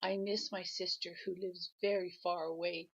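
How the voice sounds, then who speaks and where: Sad little girl limpet, as a grandma reads her, plaintive, slightly husky, dull lo-fi sound. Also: on the low side, little pitch movement, normal speed.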